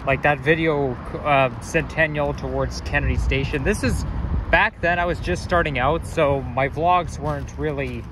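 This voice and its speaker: Male walking vlogger, slightly high voice; rambling, self-correcting chatter, outdoor street noise behind. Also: fairly even intonation, normal speed. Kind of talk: casual walking vlog commentary. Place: outdoors on city sidewalks near traffic.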